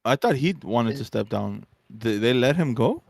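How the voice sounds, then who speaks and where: A man's voice: mid-range voice, animated, moderate pace, gravelly, darkish tone, no room sound. An American man in casual sports banter, talking in slang and needling his friends. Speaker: sports show co-host. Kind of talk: casual fan banter about soccer.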